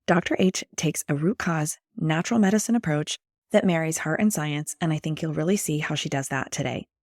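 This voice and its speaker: A woman hosting a podcast as a health and weight loss coach, her voice slightly croaky from a chest cold, chatty and enthusiastic. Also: low-pitched, brisk, darkish tone, no room sound, studio quality. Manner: chatty, enthusiastic, with many fillers. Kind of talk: podcast interview.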